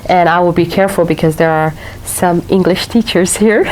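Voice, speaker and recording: A woman's voice: on the low side, normal speed, a little mellow, a little hiss or hum, poor audio. A woman from Africa with an Eritrean accent, speaking as a guest author at a library talk, laughing often and repeating words for emphasis. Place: public library room.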